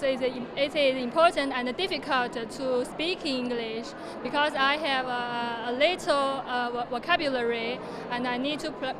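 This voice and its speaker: A woman from China, an adult English learner who speaks hesitantly and often corrects herself. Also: sits high.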